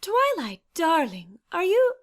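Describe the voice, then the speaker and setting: A woman's voice: extremely high pitch, huge pitch swings, slow pace, heavily acted. A woman playing a refined, fussy and inquisitive lady pony in an audio story, speaking in a theatrical, genteel manner. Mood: concerned, inquisitive. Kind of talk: acted audio story dialogue.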